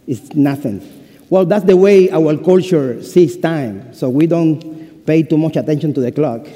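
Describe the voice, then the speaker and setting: A man in his forties preaches in English with a Cuban Spanish accent, his voice dark and mellow. He tells jokes about himself between points, and his words ring out with a long reverb in a university chapel. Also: a little high. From Cuba. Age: 40s. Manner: humorous, warm storytelling with self-deprecating jokes. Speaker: pastor. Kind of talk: sermon.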